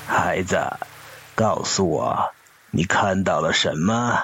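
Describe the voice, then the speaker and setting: A man playing a kindly, fatherly old man in a radio drama, speaking gently over music. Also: sits low, slight background noise, exaggerated voice. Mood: gentle, reflective. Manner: slow, gentle, caring. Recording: guitar music behind the voice.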